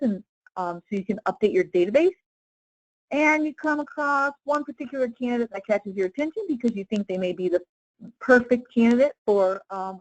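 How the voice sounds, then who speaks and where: A woman leading a casual live software tutorial as a trainer, explaining step by step in an informal way with frequent check-in questions. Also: medium pitch, dry recording, poor audio.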